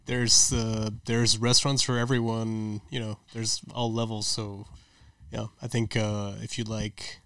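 A man's voice: fairly low pitch, little pitch movement, a little slow, a bit bright, smooth, dry recording, clean studio sound. A man from France, a podcast guest, chatting in an easygoing way with short agreeing replies. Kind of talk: casual chat.

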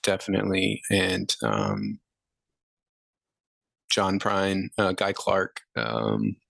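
A man in his thirties, a musician guest, answering interview questions in a relaxed, rambling way with many fillers. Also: sits low, very slow, creaky, no room sound.

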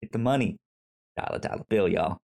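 A man's voice: lowish voice, expressive, fairly quick, darkish tone. A man co-hosting a casual podcast, talking animatedly, laughing, and reading web quotes aloud. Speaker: podcast co-host.

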